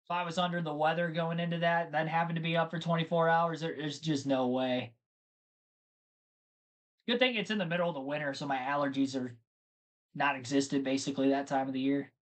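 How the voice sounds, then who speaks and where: A man's voice: fairly high pitch, fairly bright tone, rough recording. A man hosting a live race stream, chatting casually and rambling to his viewers between race updates. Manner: chatty, rambling, talks to chat.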